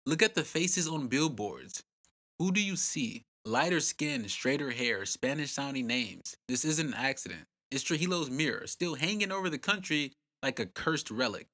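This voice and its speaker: A man's voice: mid-range voice, animated, moderate pace, pushed and bright. A man host narrating a scripted history documentary in a punchy, dramatic way with rhetorical questions.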